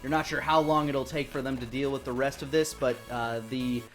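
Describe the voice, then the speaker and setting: A man's voice: mid-range voice, fairly even intonation, brisk. A man in his thirties acting as dungeon master, narrating a tabletop game with dramatic, descriptive storytelling into a close mic. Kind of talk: improvised game narration for a tabletop role-playing stream. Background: music.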